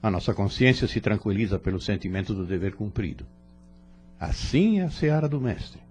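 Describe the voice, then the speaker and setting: A man's voice: lowish voice, expressive, darkish tone, a little hiss or hum, lightly acted. A Brazilian man playing a serene, kindly spirit mentor who preaches in solemn, formal phrases in a religious audio drama. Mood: gently instructing. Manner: solemn, measured, formal exhortation.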